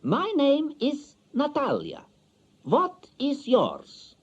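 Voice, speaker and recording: An actor voicing a nervous young Belarusian woman speaking stilted English, slow and loud like in a spy film. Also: very high-pitched, wildly swooping pitch, dull audio, voice pushed up, heavily acted. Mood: stiff, careful.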